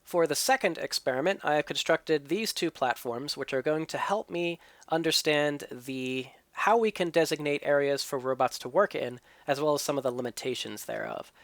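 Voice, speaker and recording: A man's voice: on the high side, normal speed, few pauses, fairly bright tone, dry recording. Man with a stuffy cold explaining gameplay. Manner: explanatory, thinking aloud. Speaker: let's play host. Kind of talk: gameplay commentary and tutorial.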